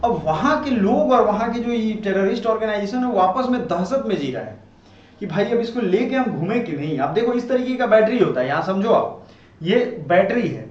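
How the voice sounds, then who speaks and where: An Indian man teaching current affairs informally, explaining in a conversational way and often checking that listeners follow. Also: sits high. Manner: conversational, checks understanding with rhetorical tags. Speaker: online teacher. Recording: muffled, roomy, distant mic, faint noise.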